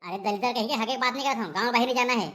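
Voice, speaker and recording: A woman's voice: on the high side, rapid-fire, a bit bright, lightly acted. A woman voicing a blustering, bullying village police inspector in a Chhattisgarhi comedy cartoon, with curt, scolding questions. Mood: scolding, interrogating.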